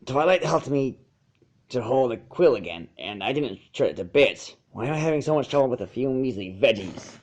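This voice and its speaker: A man's voice: medium pitch, lively intonation, normal speed, darkish tone, natural voice. Man playing a grumbling, unsure young dragon. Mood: frustrated. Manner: plaintive, grumbling. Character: young male dragon, unsure and easily frustrated.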